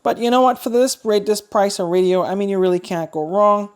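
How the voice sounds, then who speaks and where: A woman's voice: mid-range voice, fairly quick, a little mellow, clean tone. A woman giving a casual, chatty product review, thinking aloud as she demonstrates. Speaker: host of a radio review channel.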